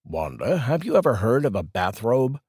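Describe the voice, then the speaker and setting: A man's voice: mid-range voice, very animated, normal speed, darkish tone, husky, dry recording. A man voicing a blunt, wry neighbor husband, close to his own voice.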